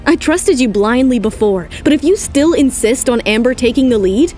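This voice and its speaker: Actress as proud woman model, high voice, assertive, cutting, audio drama, faint music. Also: lively intonation, normal speed, darkish tone, close to an everyday voice. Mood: coolly challenging. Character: proud, confident female top model, coolly defiant.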